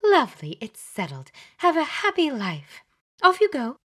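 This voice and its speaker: A woman voicing a fussy, chattering older witch who plays matchmaker, bossy and brisk in an audiobook. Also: high voice, huge pitch swings, voice pushed up, exaggerated voice. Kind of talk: audiobook character voice.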